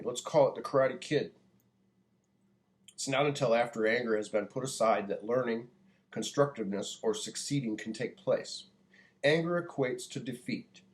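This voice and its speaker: An American man delivering a prepared public address in a deliberate, earnest, preaching manner. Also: medium pitch, a little mellow. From United States. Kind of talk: earnest prepared monologue, oratory.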